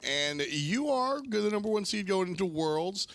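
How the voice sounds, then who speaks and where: Esports host guy, fry-heavy voice, emphatic presenter style, dry close audio. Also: high voice, animated, a little slow, fairly bright tone, no echo. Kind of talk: broadcast desk hosting and analysis.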